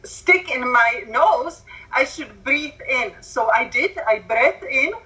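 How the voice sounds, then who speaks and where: Slow, bright-voiced woman with a Czech accent. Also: high-pitched, lively intonation, highs cut off, faint music behind. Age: 40s. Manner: slow, careful speech with non-native grammar. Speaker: guest. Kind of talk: telling her story on a call.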